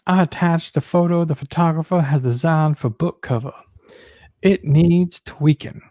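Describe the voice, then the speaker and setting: Soft-toned higher-pitched man reading aloud. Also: normal speed, no room sound. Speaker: panellist reading emails aloud. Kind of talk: reading documents aloud on a casual live show.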